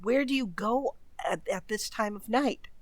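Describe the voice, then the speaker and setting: An impatient wife from a radio mystery, played by a woman. She questions and scolds with suspicion in a medium-pitched voice, recorded dry. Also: lively intonation, measured pace, dry recording. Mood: impatient, scolding. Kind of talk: old radio mystery acting.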